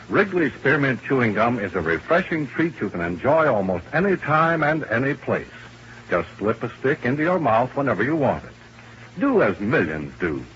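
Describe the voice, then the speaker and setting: A man's voice: medium pitch, a bit bright. A man reading a scripted 1950s radio commercial in an emphatic, salesmanlike way. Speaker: radio announcer. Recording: dull, noisy old radio recording.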